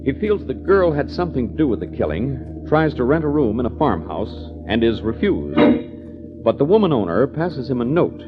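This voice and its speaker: A man narrating as announcer of a 1940s radio show in a deliberate, dramatic delivery. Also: medium pitch, very animated. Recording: muffled old radio recording with music. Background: loud dramatic music.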